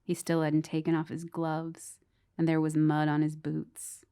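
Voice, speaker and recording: An actress playing a bleak, resentful girlfriend who narrates a horror audio drama in a deadpan, brooding way. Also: low voice, unhurried, clean tone.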